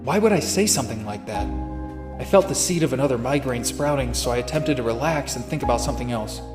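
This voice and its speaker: An actor playing a troubled private detective with memory gaps in a noir audio drama, brooding and confessional. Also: male voice, mid-range voice, quick pace, echoey room, music in the background, close to an everyday voice. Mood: brooding, anxious. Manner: brooding, confessional inner monologue.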